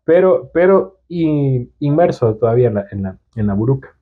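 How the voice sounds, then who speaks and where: A man's voice: medium pitch, expressive, moderate pace. A young Salvadoran man with a Salvadoran accent, chatting casually as a podcast guest with hesitant restarts. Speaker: guest entrepreneur. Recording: tinny phone-like sound.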